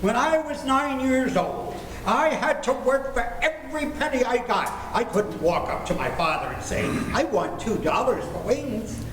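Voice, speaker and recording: A man's voice: very high-pitched, lively intonation, moderate pace, few pauses, a bit bright. A man acts a blustery suburban father in a radio family comedy, recorded in a noisy, echoing hall. He grumbles and lectures with stern exasperation. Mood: exasperated. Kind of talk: old-time radio family comedy acting.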